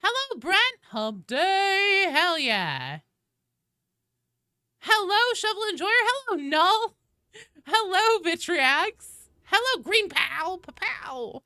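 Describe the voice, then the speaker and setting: A woman streamer with a bright, crisp voice and lively, swinging pitch. She chats casually and informally with her viewers, swearing freely, on a close, dry-sounding recording. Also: takes it slowly, dry recording. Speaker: live streamer hosting a game stream. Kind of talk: casual livestream chat with viewers.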